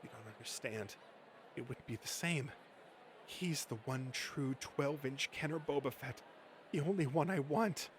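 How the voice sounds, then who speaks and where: A man's voice: medium pitch, unhurried, natural voice. A man voicing an obsessive, desperate toy-collecting nerd in a comic dub, pleading dramatically over slight recording noise. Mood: awed longing. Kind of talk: comic dub character acting.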